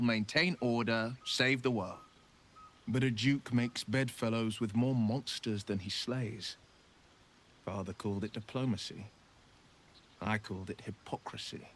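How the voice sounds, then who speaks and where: Noble male monster hunter: mid-range voice, gallant and wistful, measured video game delivery. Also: medium pitch, fairly bright tone. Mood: resolute. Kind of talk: video game character dialogue.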